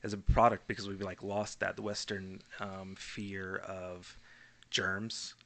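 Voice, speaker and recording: A man's voice: deep voice, level tone, takes it slowly, sharp tone, a little hiss or hum. A young man, a podcast guest, drowsy and spacey on painkillers, rambling casually in a loose, laid-back way. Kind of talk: casual chat.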